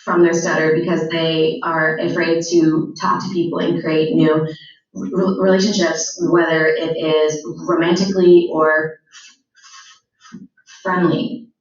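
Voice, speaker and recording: A woman's voice: low voice, flat delivery, unhurried. A teenage girl giving a school presentation, stuttering with repeated words and frequent fillers. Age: teens. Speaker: student speaker. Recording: very echoey, distant, dull sound.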